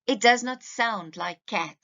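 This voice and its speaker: A woman's voice: fairly high pitch, takes it slowly, vocal fry, fairly bright tone. Woman teacher doing a slide talk for parents, takes her time, emphatic, bit muffled lo-fi audio. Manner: didactic, emphatic, addressing listeners directly. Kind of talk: slide presentation lecture, instructional.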